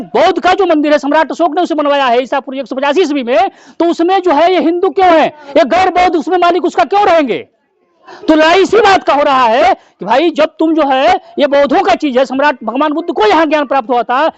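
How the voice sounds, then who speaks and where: High-pitched man giving a campaign speech, dull audio. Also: dry recording. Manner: didactic, explanatory, with rhetorical questions. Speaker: activist speaker.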